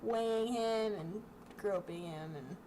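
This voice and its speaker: A woman's voice: medium pitch, slow. A young woman giving casual, wry commentary in an offhand way. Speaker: storytime co-host commenting on pictures. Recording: faint background noise.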